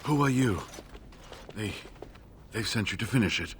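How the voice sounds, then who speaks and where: A man playing an injured, earnest scholarly monk in a video game, speaking in a halting, expressive way. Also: on the low side, a little hiss or hum, close to an everyday voice. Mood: pained, fearful. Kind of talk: dramatic video game dialogue.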